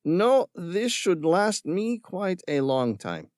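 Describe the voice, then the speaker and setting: A man's voice: sits high, very animated, slow pace, darkish tone, no echo, studio quality, voice pushed up, exaggerated voice. A man voicing a greedy, sarcastic male villain in an audiobook, with a patronizing, mocking manner and an affected Spanish-tinged accent. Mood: sneering. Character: sneering, greedy male villain caretaker with gun. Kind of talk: audiobook character voice.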